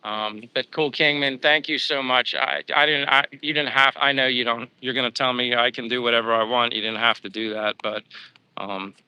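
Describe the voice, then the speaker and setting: A man's voice: medium pitch, steady tone, normal speed, pushed and bright, clear, resonant tone, dry recording, poor audio. Upbeat Pennsylvania man chatting on a livestream. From United States. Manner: rambling, upbeat, thanking viewers. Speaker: livestream host. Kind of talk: casual livestream chat reading viewer comments. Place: living abroad.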